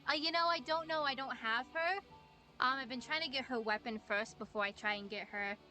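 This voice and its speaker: A woman game streamer with a fairly high, very bright voice. She chats casually as she plays, with faint music in the background. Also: fairly quick. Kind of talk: casual chat while gaming. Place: voice call with game music behind.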